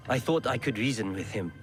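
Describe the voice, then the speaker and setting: A man playing an ailing, dutiful clan lord in a video game drama, speaking in a measured, formal way broken by sighs and coughs. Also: mid-range voice. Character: ailing clan lord, sorrowful but dutiful older brother.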